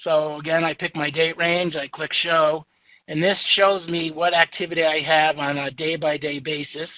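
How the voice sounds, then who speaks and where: A man with a booming voice walking through a software demo in an easygoing, chatty way with lots of fillers. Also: flat delivery, normal speed, no room sound. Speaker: software demonstrator. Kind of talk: software screen-share demo. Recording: tinny phone-like audio, dry.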